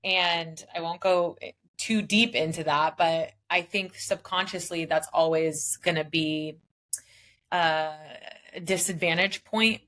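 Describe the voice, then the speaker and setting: A woman with a slightly low voice talks as a podcast guest. She is emphatic and casual, stacking point after point with conviction and now and then dropping a mild swear. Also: fairly even intonation, slow pace, fairly bright tone. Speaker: guest, business founder. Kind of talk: motivational interview talk.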